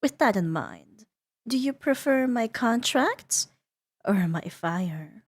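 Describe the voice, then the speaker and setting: A woman voicing a seductive, menacing and playful female demon in a roleplay ASMR audio drama, teasing and imperious, drifting into breathy whispers. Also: on the high side, lively intonation, takes it slowly. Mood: threatening, coaxing.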